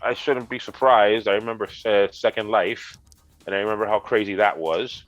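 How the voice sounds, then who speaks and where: A congested man co-hosting a gaming news chat, making dry, deadpan jokes as he explains the news. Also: fairly low pitch, normal speed, lo-fi sound, faint music behind. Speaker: co-host, retro gaming specialist.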